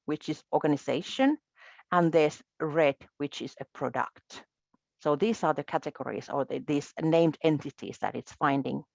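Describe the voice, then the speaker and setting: A woman from Finland, a Finnish native speaker, walking through a software demo in a methodical, explanatory way. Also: low-pitched, creaky, no echo, highs cut off. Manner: explanatory, methodical, with small restarts. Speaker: presenter.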